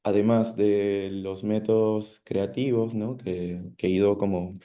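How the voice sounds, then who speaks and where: A man's voice: on the low side, steady tone, mellow. A man from the Amazon, presenting his art practice on a video call in a thoughtful, hesitant way. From Peru, Amazon region. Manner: thoughtful, hesitant, many pauses and tag questions. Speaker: guest artist. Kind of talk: panel presentation.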